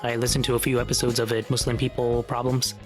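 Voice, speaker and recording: A man hosting a casual interview, talking in a relaxed way with easy chuckles. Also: mid-range voice, fast, music in the background. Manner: relaxed, chuckles, frequent mm-hmm backchannels. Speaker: host.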